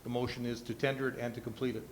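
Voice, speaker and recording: Man, flat intonation, medium pitch, formal administrator, measured procedural explanation, faint noise. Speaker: chief administrative officer. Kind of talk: formal explanation at council meeting.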